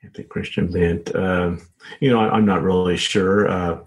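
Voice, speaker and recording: An American man speaking as an author being interviewed, answering casually and haltingly with lots of hedging filler phrases. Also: low voice, fairly even intonation. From United States. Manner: halting, frequent hedging with "you know" and "sort of". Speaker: author and journalist, interview guest. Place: home office set up as a small studio.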